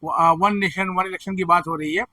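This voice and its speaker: A man with a hoarse throat presenting education news, explaining admission figures and earnestly reassuring students and parents. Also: sits high, fairly even intonation, moderate pace, bright, forceful tone, no echo. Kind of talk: direct-to-camera advisory commentary.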